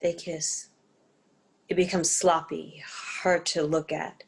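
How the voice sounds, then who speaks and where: A woman's voice: deep voice, flat delivery, takes it slowly, gravelly. A woman reading stage directions as the narrator, in a scripted narration style.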